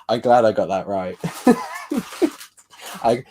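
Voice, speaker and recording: A man's voice: mid-range voice, huge pitch swings, drawn-out words, dark tone, slight background noise. A young man hosting a live stream, chatting casually with dry, teasing banter and frequent laughter.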